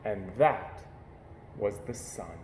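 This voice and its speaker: A man reading a children's picture book aloud with gentle, measured storytelling. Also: on the low side, animated. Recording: echoey room with faint hiss.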